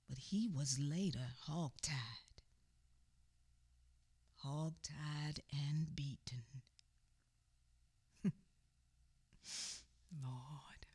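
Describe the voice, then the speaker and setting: Slow, sorrowful woman storyteller. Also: fairly low pitch, full character voice. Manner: emotive, halting, repeats phrases, murmured asides. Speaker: narrator. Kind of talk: dramatic radio theatre storytelling.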